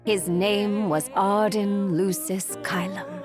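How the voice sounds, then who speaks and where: A woman playing a solemn, deliberate narrator who tells an ancient legend in a video game. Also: medium pitch, fairly even intonation, natural voice. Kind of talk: scripted story narration. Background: music.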